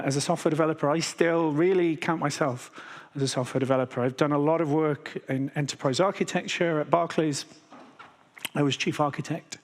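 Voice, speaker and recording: A British man in his fifties giving a conference keynote talk, measured and unhurried, with frequent filler sounds. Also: on the high side. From Britain. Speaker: keynote speaker. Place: large conference hall. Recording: hall reverb.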